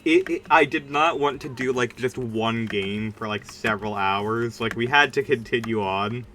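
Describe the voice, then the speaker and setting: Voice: medium pitch, moderate pace, sharp tone. A streamer chatting casually during a game livestream in a rambling, informal and emphatic way. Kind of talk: casual livestream chat while gaming.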